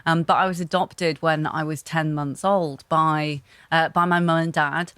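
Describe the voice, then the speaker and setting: A young woman talking as an interview guest, thoughtful and relaxed, often pausing with fillers and restarting. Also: low voice, clean tone, dry recording. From Hong Kong. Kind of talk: casual interview talk.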